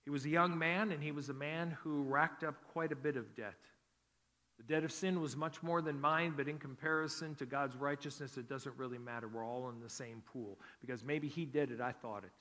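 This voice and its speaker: A male pastor preaching a sermon with earnest emphasis, rising on key words and dropping soft on others. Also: medium pitch, fairly quick. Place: church sanctuary. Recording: long hall reverb.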